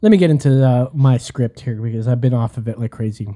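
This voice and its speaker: A man's voice: mid-range voice, quick pace, warm tone. A man co-hosting a casual talk show, trading heated political banter in a blunt, profane, combative way. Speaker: podcast co-host.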